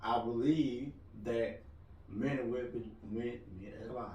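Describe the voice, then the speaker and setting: A loud-voiced man chatting on a podcast, rambling and cracking jokes in an easy, mid-pitched voice. He sits well back from the mic, and the room adds a roomy echo around him. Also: fairly even intonation, slow pace, far from the mic, a little hiss or hum. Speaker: podcast co-host. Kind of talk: casual podcast chat.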